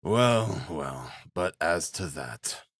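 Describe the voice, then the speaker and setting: A man voicing a hesitant, evasive gentleman in an audiobook, muttering in halting phrases. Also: rumbling low, animated, dry recording, studio quality. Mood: flustered reluctance. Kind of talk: audiobook character acting.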